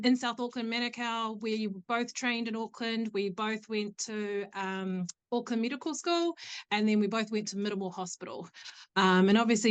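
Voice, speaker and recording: A woman's voice: on the high side, smooth. A woman with a New Zealand accent, a doctor talking casually in an interview, chatty and often laughing. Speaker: guest doctor.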